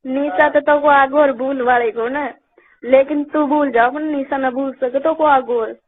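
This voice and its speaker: A woman's voice: high voice, steady tone, unhurried, slight background noise. A woman with a Rajasthani accent chatting casually on a phone call, complaining and repeating herself. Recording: tinny phone line call recording.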